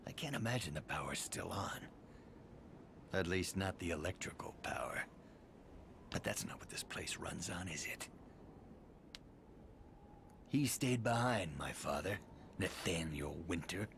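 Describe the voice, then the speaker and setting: An actor playing the brooding, bitter son of a sinister tycoon in a video game, telling his story ominously with hushed phrase endings. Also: low voice, vocal fry, exaggerated voice. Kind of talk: video game cutscene monologue. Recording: faint background ambient noise. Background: faint ambience.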